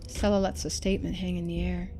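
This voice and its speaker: A woman's voice: lowish voice, little pitch movement, quick pace, mellow, soft background music. A woman reading game narration expressively and adding casual commentary as a streamer.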